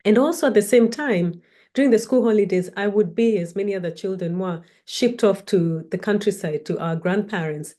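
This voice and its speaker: A woman from Kenya speaks in a measured, reflective way, introducing herself as a researcher and storyteller in a personal talk. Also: mid-range voice, dark tone. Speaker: academic researcher and guest speaker.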